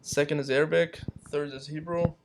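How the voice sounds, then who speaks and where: Man from Palestine, Romanian native speaker, slightly higher voice, relaxed storytelling, chuckles, repeats words. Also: fairly quick, gravelly, a little hiss or hum. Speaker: guest. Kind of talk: casual storytelling chat.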